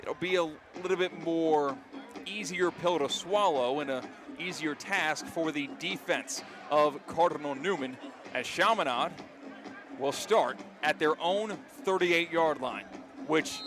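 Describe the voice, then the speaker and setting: An American man calling live football play-by-play, descriptive and animated, from an outdoor stadium. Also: fairly high pitch, moderate pace, music in the background. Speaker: play-by-play announcer. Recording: live stadium broadcast with loud background noise. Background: loud stadium noise.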